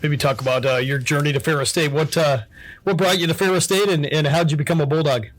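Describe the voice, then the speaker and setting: Fast-talking male sports radio host. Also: a little high, fairly even intonation. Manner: brisk broadcaster delivery with many "uh" fillers.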